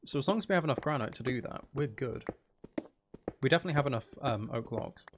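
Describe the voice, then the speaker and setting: A man's voice: medium pitch, lively intonation, quick pace, background noise. A man chatting casually and thinking aloud as he hosts a live game stream, talking to his viewers.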